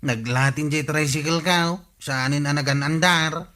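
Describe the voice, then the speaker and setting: A man's voice: a little high, fairly even intonation, moderate pace, bright, forceful tone. A man with an Ilocano accent playing a cheeky, cajoling lazy nephew in a radio comedy drama. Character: cheeky freeloading nephew, a lazy tricycle driver.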